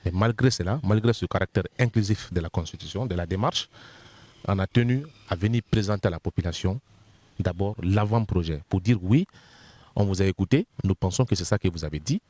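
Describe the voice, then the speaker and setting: Deep-voiced Guinean man explaining methodically. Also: fairly quick, darkish tone. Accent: Guinean French. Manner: methodical, lists points one by one, repeats words while searching. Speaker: member of the constitutional body. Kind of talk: explanatory interview answers.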